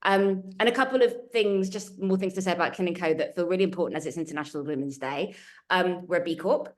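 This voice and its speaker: A woman's voice: lowish voice, fast talker. A woman speaking as a panelist on a webinar over a video call, earnest and emphatic, building her points in lists. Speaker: panelist from a culture change consultancy.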